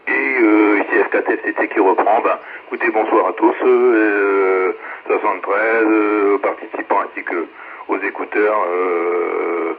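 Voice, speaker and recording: A low-voiced man speaks in a halting, colloquial way over a two-way radio. The sound is thin and phone-like, with a little noise. It is casual operator chat. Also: fairly even intonation. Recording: tinny radio relay, faint noise.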